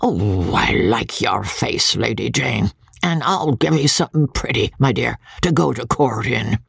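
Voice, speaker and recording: A man puts on a vulgar old country squire nearly eighty, bawling at servants and leering at guests in a gravelly, raspy voice. His pitch leaps around as he mutters and cackles. The storybook reading is dry and close. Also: lively intonation, measured pace, fairly bright tone, no room sound, full character voice. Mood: wheedling. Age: older. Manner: coarse, bawling, chuckling, sly asides.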